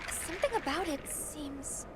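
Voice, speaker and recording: An actress playing an earnest young guild field handler in a video game, brisk, precise and professional. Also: female voice, high-pitched, animated, noisy background. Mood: alert, concerned. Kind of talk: video game cutscene acting.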